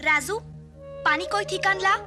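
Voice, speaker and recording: A woman's voice: very high voice, moderate pace, over loud music. A woman voicing an earnest, determined village girl in an educational cartoon, emphatic and instructive, with a Bangladeshi accent.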